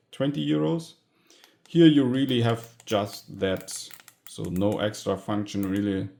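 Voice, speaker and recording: Slow-talking man with a creaky voice. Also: mid-range voice, dark tone. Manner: halting, informal, pausing often mid-sentence. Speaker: tech hobbyist video host. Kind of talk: casual hands-on gadget teardown narration.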